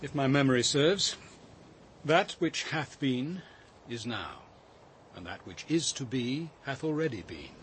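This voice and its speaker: Reserved detective, deliberate, mid-pitched with varied intonation, radio drama. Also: male voice, big pitch swings, a bit bright, a little hiss or hum. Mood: reflective. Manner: deliberate, literary, restrained. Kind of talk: BBC radio drama dialogue.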